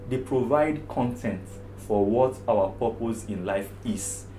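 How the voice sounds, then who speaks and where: A man from Africa, a therapist, giving an earnest personal testimony in a loud, strong voice and repeating phrases for emphasis. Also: lowish voice. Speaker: problem management therapist. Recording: roomy echo with guitar music behind.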